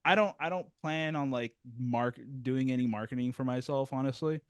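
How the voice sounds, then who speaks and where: A man's voice: medium pitch, normal speed, clear, resonant tone, no echo. A man hosting a music review interview, talking in a rambling, self-deprecating way with many restarts. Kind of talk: casual interview chat. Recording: dry, close sound.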